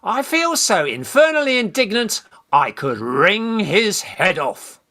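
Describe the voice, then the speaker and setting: Farce role: man playing a bossy British young gent, hot-headed, high-pitched, wildly animated delivery. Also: slow pace, exaggerated voice. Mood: indignant. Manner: emphatic, blustering. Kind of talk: Victorian stage farce, radio play acting.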